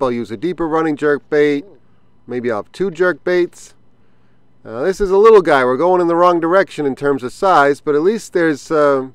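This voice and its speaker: A man with a fairly high, smooth voice talks through his fishing in an easygoing way, stopping now and then to think. He casts and reels from a small boat outdoors. The sound from the boat-mounted camera is dry and close. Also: dry recording. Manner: relaxed explanatory, thinking aloud with fillers. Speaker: fishing channel host. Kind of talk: casual outdoor fishing vlog. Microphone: action camera on the boat.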